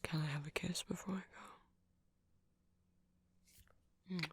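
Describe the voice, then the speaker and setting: Performer as a husky, flustered nerdy vampire. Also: medium pitch, fairly even intonation, racing, no echo. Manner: rambling, bashful, gushing. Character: flustered, lovestruck nerdy vampire man selling CDs. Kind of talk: ASMR roleplay acting.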